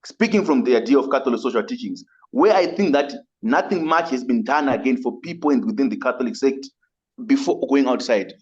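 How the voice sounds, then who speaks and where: Zimbabwean man, migrant advocate on a webinar, earnest and halting, mid-range voice, muffled call audio. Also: medium pitch, darkish tone. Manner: earnest, halting, repeats and rephrases as he goes. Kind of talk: webinar audience comment.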